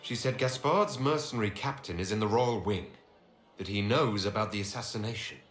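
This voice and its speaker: Game lead, male actor: authoritative inquisitor, lowish voice, probing and firm, roomy sound with faint music. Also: brisk, a bit bright, natural voice. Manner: direct, questioning, authoritative. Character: commanding male inquisitor, resolute hero and investigator. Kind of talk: video game cutscene dialogue.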